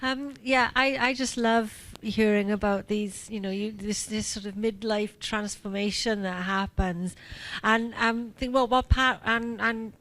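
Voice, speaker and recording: A woman's voice: medium pitch, steady tone, a little slow, fairly bright tone. A woman in her forties speaking enthusiastically and chattily at a forum panel. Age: 40s. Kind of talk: conversational forum remarks.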